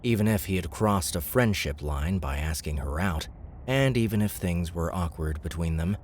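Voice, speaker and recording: A man's voice: low voice, a little mellow, a little hiss or hum. A man narrating scripted fantasy fiction as an audiobook reader.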